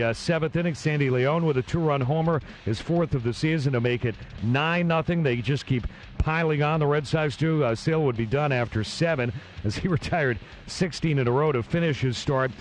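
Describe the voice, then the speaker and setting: A man narrating a baseball game recap, steady and matter-of-fact between broadcast clips. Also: a little high, moderate pace, a little hiss or hum. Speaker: recap host.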